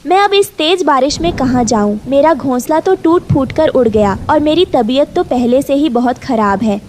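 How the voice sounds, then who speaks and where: A woman's voice: high-pitched, expressive, normal speed, darkish tone, a little hiss or hum. A woman playing a worried, weary expectant mother bird in a cartoon story, polite, pleading and fretful. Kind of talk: animated moral story dub.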